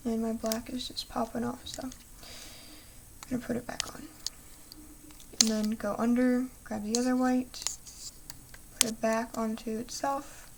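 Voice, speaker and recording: Soft-spoken young woman, gravelly voice. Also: a little high, little pitch movement, unhurried, long pauses, background noise. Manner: soft-spoken, apologetic, self-correcting. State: losing her voice, clearing throat. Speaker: craft tutorial host. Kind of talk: step-by-step crafting tutorial.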